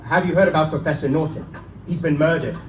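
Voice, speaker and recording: A man's voice: a little high, fairly even intonation, fast talker, lightly acted. A man playing a breezy, gossipy young student who talks in chummy old-fashioned slang, at a live dramatic reading in an echoing room. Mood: jaunty, gossipy. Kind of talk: live dramatic reading of gothic pulp tale. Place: live stage reading in a bookstore. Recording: echoey live recording, distant mic, faint noise.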